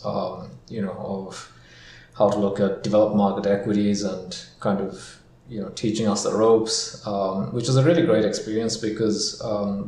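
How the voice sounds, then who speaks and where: A man from Sri Lanka and Singapore, a podcast guest answering questions in a relaxed way with many ums. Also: fairly low pitch. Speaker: guest, equity research founder. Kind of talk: casual interview answers. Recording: echoey room, faint noise.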